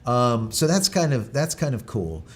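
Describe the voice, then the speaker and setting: A man's voice: medium pitch, very animated, measured pace. Rambling male livestream host. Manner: rambling, with many fillers and restarts. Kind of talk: casual live-stream chat.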